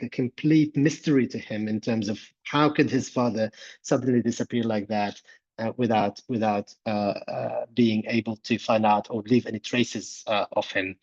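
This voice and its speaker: Earnest author, dry lo-fi sound. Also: male voice, mid-range voice, a little mellow, no room sound. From Gaza, Palestine. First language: Arabic. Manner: earnest, explanatory, repeats words while searching for phrasing. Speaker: author being interviewed. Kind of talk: conversational interview answer. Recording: dull, dry lo-fi recording.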